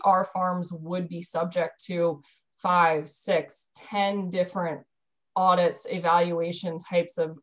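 A woman in her thirties explaining a dairy program on a video call from home, earnest, with frequent ums and restarts. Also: lowish voice. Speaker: dairy program staff presenter. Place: bedroom at home. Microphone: video call webcam.

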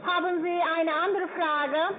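Very high-pitched foreign-accented woman on a phone. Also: fairly even intonation, a little hiss or hum. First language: not German. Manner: halting, repetitive. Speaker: call-centre agent. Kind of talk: telephone customer call. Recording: tinny phone line, busy call-centre chatter.

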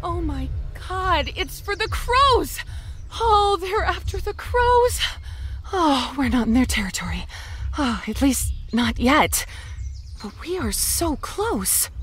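Woman acting a high, chatty, excitable zombie girl. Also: slight background noise, heavily acted. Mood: anxious, pleading. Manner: chatty, rambling, excitable, confiding in the listener. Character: Hannah's inner voice, chatty anxious undead young woman. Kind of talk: audio drama first-person monologue.

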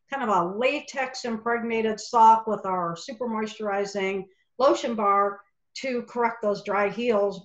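A middle-aged woman who owns a lavender farm, chatting enthusiastically through a slide presentation. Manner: enthusiastic, chatty product pitch. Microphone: webcam video call. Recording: echoey, muffled video call audio.

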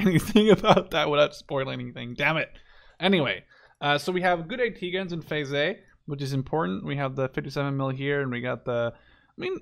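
A man's voice: mid-range voice, vocal fry. A man in his twenties giving casual gameplay commentary, rambling, interrupting himself and swearing now and then. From Netherlands. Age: 20s. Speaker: gaming YouTuber.

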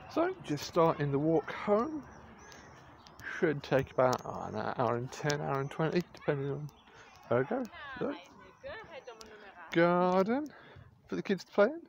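A man's voice: high-pitched, creaky, darkish tone, dull audio, a little hiss or hum. A middle-aged English man narrating a casual, rambling vlog into his phone in an informal, wry way. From England. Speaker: vlogger. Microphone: phone at arm's length.

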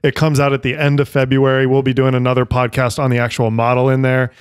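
A man's voice: mid-range voice, completely flat delivery, fast, clear, resonant tone, no room sound. Upbeat guy co-hosting a podcast, laughs a lot, dead dry mic sound. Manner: upbeat, laughs easily, promotional. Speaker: podcast co-host. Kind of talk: casual podcast chat.

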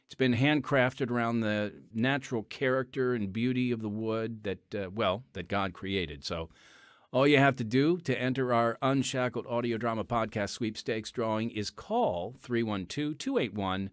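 A man's voice: medium pitch, normal speed, no echo. A man hosting a podcast in a friendly, chatty manner, reading announcements from a studio mic. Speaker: podcast host. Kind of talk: podcast host segment and announcements.

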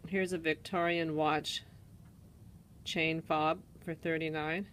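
A woman's voice: deep voice, level tone, takes it slowly, a bit bright, a little hiss or hum. A woman with a Canadian accent casually walks through an antique mall, listing items and prices while filming on her phone. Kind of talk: casual walk-through narration. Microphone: handheld phone camera.

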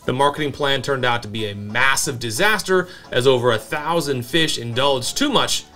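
A man with an American accent and a medium-pitched voice tells semi-scripted stories as a show co-host. His delivery is deadpan and dryly comic. Soft guitar music sits behind him. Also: moderate pace. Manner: deadpan, dry humor. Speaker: co-host of a whiskey review show. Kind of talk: semi-scripted comic history narration and banter. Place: small indoor home-style bar set. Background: background music with guitar.

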